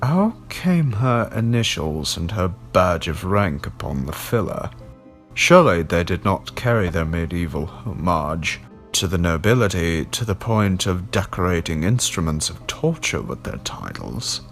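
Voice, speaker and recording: A man narrating a classic horror story in a deliberate, dramatic audiobook style. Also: low voice, very animated, soft background music.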